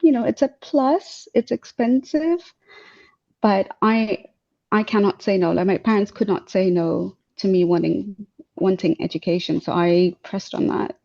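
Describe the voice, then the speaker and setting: A young woman from Tanzania speaks on a video call with a mellow, darkish tone. She talks hesitantly, full of fillers and restarts. The call audio is dull and lo-fi. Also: medium pitch, a little slow, creaky. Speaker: guest speaker. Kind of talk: casual webinar interview.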